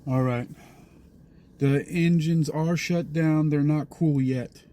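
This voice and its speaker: Higher-pitched, mellow man as an astronaut. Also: steady tone, takes it slowly. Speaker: role-player acting as an astronaut. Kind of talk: improvised radio-style mission dialogue.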